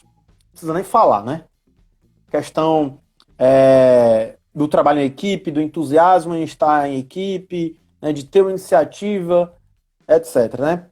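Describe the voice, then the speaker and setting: Husky Brazilian woman explaining in casual chat. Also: sits low, warm tone. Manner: didactic, frequently seeking agreement with tag questions. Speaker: guest explaining role-playing games in education and work.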